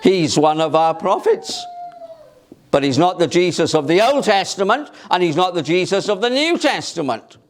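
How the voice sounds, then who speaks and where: Loud preacher with a lively, high voice. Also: male voice, normal speed, a little hiss or hum. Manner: loud, emphatic, rhetorical questions. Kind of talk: sermon. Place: church. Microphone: amplified by a microphone.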